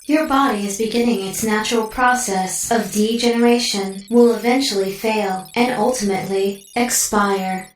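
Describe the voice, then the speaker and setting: An actress playing a coolly clinical artificial intelligence in an audio drama, speaking in precise, formal, detached phrases. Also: female voice, mid-range voice, fairly even intonation, moderate pace, echoey room, far from the mic, faint music behind, natural voice, rough recording. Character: coolly clinical female artificial intelligence delivering blunt data.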